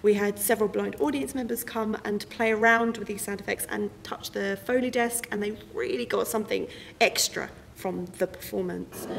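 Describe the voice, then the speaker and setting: A woman's voice: mid-range voice, creaky. A woman giving an explanatory, articulate interview as a member of a theatre company. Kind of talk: interview about a production. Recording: reverberant room, noisy with clicks.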